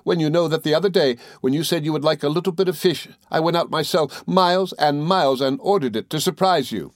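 A man's voice: fairly high pitch, lively intonation, moderate pace, darkish tone, no echo, clean studio sound, voice pushed up, lightly acted. A man voicing a childish, tearful young wife in an audiobook, piteous, pleading and pouting. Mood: sobbing reproach. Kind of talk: audiobook character dialogue.

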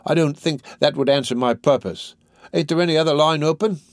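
Storybook character, male narrator performing: cocky young male lout, pitched up, grasping and self-important. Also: a little fast, no echo, studio quality, lightly acted. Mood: wary, angling. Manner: self-important, colloquial, questioning.

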